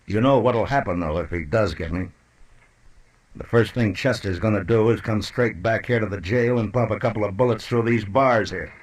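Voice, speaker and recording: An actor playing a tough, coolly menacing western marshal in an old-time radio drama, laconic, sardonic and commanding. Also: male voice, fairly low pitch, normal speed, natural voice, rough recording.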